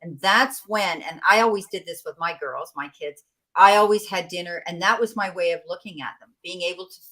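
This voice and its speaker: A middle-aged woman hosting a podcast, with a slightly low voice. She talks in a chatty, conversational way and keeps affirming what her guest says. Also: a bit bright, low-quality recording. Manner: chatty, agreeable, quick to affirm. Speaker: podcast host. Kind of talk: podcast interview.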